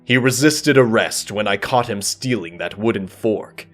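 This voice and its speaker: A man's voice: fairly low pitch, normal speed, faint music behind, close to an everyday voice. Man playing a zealous, commanding armored legionnaire. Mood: matter-of-fact.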